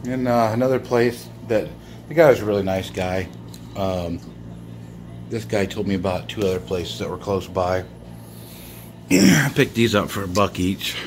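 A man's voice: on the low side, moderate pace, pauses often, a little hiss or hum. A man casually showing off his toy car finds in a rambling vlog, chuckling often and using many fillers, filmed on a handheld phone. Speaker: toy car collector vlogger. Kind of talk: casual show-and-tell vlog. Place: indoors, filming toys laid on a bedsheet. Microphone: handheld phone camera.

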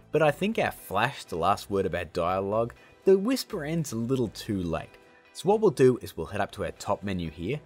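Animated husky man hosting a tutorial. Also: on the low side. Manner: upbeat, chummy, addressing viewers as guys and gang. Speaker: tutorial host. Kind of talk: casual how-to tutorial narration.

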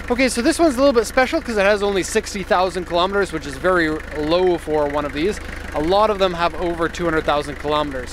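An upbeat, enthusiastic man in his thirties talks casually while walking around a truck outdoors. His voice sits a little high, picked up on a handheld camera mic. Clear outdoor noise runs under everything he says. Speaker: car exporter reviewing a vehicle. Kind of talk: casual walkaround commentary. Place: outdoors in a park by a parked truck.

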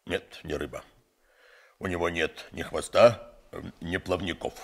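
An actor playing a pompous giant scholar who mutters and repeats himself in a theatrical radio play. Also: male voice, low-pitched, fairly even intonation, measured pace, gravelly, fairly bright tone, heavily acted. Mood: pompous, pondering.

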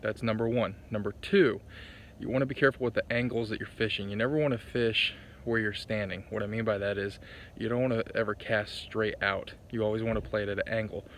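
A young man talks straight to the camera in a chatty, upbeat way. His voice sits a bit low. It is recorded on a phone held at arm's length outdoors by a lake, with faint open-air ambience behind him. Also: steady tone, a little fast, fairly bright tone, slight background noise. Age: young adult. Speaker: fishing vlogger. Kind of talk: casual outdoor tips vlog. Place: outdoors on a lakeshore at dawn.

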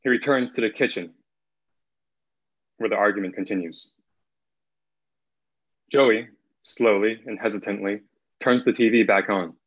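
Low-voiced young man, dull call audio. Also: a little slow, pauses often. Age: young adult. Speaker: narrator and actor. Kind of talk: scripted narration at a table read. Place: plain room at home. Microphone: video call.

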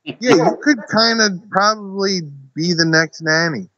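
A man joins a trivia stream, answering questions and ribbing the host with dry humor. His voice is fairly high and bright. The audio is dull and lo-fi. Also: unhurried. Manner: dry, teasing. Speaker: trivia player. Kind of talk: casual banter.

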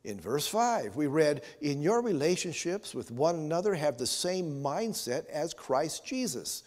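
A man in his sixties with an American accent, preaching and telling stories as a pastor, earnest and given to emphatic pauses, in a church. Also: a little high, sing-song, darkish tone. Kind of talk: sermon with storytelling. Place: church sanctuary, at the altar. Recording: reverberant church hall.

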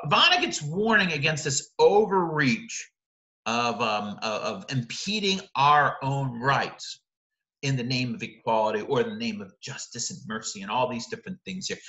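A man's voice: a little high, bright, forceful tone, reverberant, low-quality recording. A man in his forties, a teacher giving a recorded lecture over slides in a conversational way, often checking in with "right?" and restarting his sentences.

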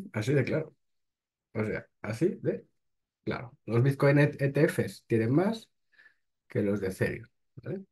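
A man's voice: mid-range voice, very animated, a little slow, long pauses, a little mellow, slightly rough. A man, hosting and reading crypto news aloud, then breaking off with short blunt remarks. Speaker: host.

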